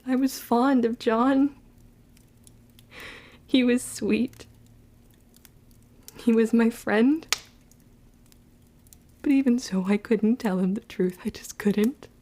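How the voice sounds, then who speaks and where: A woman acting a wounded, vengeful young witch who narrates her own story in an audio drama, with deliberate, emotive delivery. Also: a little high, dark tone. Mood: sorrowful, guilty.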